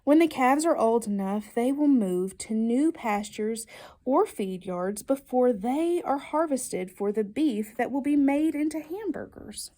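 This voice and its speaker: A woman reads a children's picture book aloud with a fairly high voice. Her pitch moves up and down in a lively way, and she stresses key words like a storyteller engaging young listeners. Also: a little slow. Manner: expressive, emphatic storytelling delivery with playful questions. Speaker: storybook reader.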